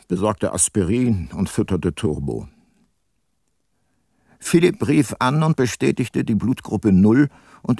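An actor playing a world-weary private detective who narrates his case soberly in a crime radio drama, with a husky voice. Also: lowish voice, dark tone, no room sound, studio quality, full character voice.

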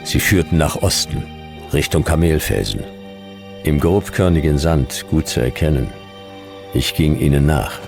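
Noir sci-fi detective narrator: rock-bottom pitch, unhurried, deadpan, music playing underneath. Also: male voice, gravelly, heavily acted. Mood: terse, brooding. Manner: terse, clipped phrases, deadpan. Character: laconic, hard-boiled male detective narrator in sci-fi desert. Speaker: narrator and lead actor. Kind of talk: noir first-person radio drama narration.

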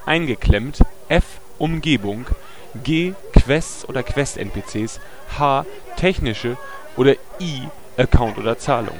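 A man playing a formal, unhelpful game master who recites in a deadpan, scripted way in a comedy audio drama. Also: mid-range voice, background noise. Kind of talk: comic radio play parody acting.

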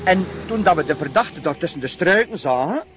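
A man's voice: on the high side, animated, fairly quick, a bit bright, highs cut off, a little hiss or hum. An actor playing a hot-headed, defensive Flemish policeman in a naturalistic TV crime drama, forever justifying himself. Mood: self-justifying.

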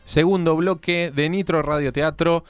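A man's voice: on the high side, steady tone, normal speed, faint music behind. An Argentine man presenting a radio drama programme live from a studio. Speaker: radio host and guest actor.

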